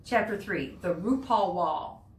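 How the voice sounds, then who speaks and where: A woman teacher reading a children's nonfiction book aloud to students in an explanatory way. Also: lowish voice. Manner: explanatory, pauses to explain words and pictures. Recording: roomy, distant mic with background noise.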